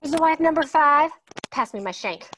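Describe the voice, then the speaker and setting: A woman reads a tough prison bride who orders everyone around with a menacing edge. Her voice sits high, and faint noise runs underneath. It is a table read. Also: animated, moderate pace, a bit bright. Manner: bossy and threatening.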